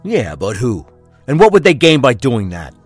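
Man playing an intense husband, widely swinging pitch. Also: wildly swooping pitch, moderate pace, dry recording, soft background music. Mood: puzzled. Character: capable, determined husband of a kidnapped wife. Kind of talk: audio drama acting.

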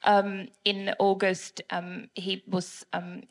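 A woman's voice: mid-range voice, monotone, slow pace. A woman from the Czech Republic with a Czech accent, nervously giving a testimony in church on a handheld mic, hesitant and giggly with many fillers. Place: church service on stage. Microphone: handheld microphone.

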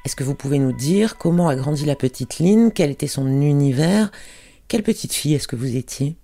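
A radio host introducing a guest and asking measured, formal questions. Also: mid-range voice, animated, warm tone.

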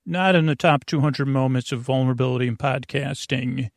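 A man hosting a bedtime podcast with a creaky, gravelly voice, meandering and halting in a goofy, self-deprecating monologue that keeps going off topic. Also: medium pitch, no room sound. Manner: meandering, halting, goes off topic mid-sentence. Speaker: podcast host.

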